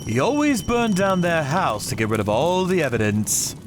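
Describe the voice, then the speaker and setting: A man reading scripted dramatic narration in a grave, measured way. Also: fairly high pitch, sing-song. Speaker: narrator of a manga story, telling it in first person as a vigilante character. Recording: music behind the voice.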